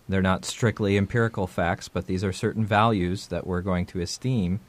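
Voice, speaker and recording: A man with a very low voice hosts a podcast conversation. He talks in a thoughtful, searching way, stopping to restart phrases and repeat words before he makes his point. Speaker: podcast host. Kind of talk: casual discussion.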